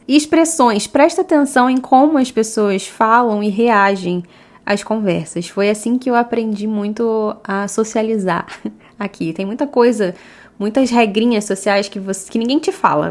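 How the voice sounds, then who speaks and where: A woman's voice: mid-range voice, darkish tone. A Brazilian woman with a Brazilian Portuguese accent hosting a podcast, chatting casually and often laughing between points. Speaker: podcast host.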